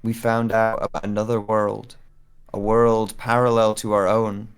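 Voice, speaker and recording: Man performing anxious lost searcher, audio drama monologue, deliberate delivery, creaky low tone. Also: level tone. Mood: earnest, remembering. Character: anxious searcher with lost memories, narrating a journal.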